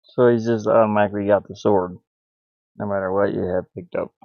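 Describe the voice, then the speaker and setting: A man thinking aloud in a halting mutter as he gives casual commentary on his hobby game development. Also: on the low side, steady tone, vocal fry, dry recording. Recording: dull lo-fi audio, faint music. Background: faint music.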